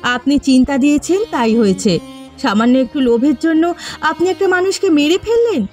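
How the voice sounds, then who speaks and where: A woman's voice: high voice, animated, normal speed, darkish tone, soft background music. A woman playing a grieving, reproachful village daughter-in-law in a cartoon drama, emotional and accusing. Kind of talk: Bengali cartoon drama dialogue.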